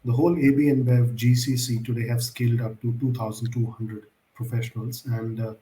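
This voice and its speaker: A male interview host who speaks in a formal, elaborate way, often hedging with "I would say." His voice is dark and mellow. It is recorded in an echoey room. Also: medium pitch, little pitch movement, brisk. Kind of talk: interview questions.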